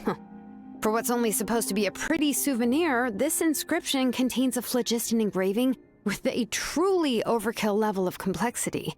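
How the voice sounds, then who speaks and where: An actress playing a sharp, laid-back young woman who calmly reasons through a scheme in a video game. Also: fairly high pitch, moderate pace, lightly acted. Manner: calm, knowing, casually reasoning. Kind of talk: video game dub acting. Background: game music.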